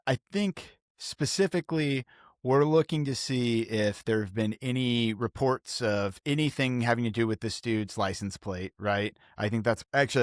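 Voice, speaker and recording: Male gamer, deeper side, chatty and tentative, thinking out loud, close dry recording. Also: dry recording. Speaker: tabletop game player. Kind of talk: casual tabletop role-play chat.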